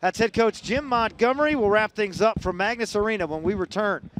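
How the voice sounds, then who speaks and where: A man hosting a post-game radio interview, asking long, halting questions. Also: sits high, fairly bright tone, slight background noise, poor audio. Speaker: sports radio host.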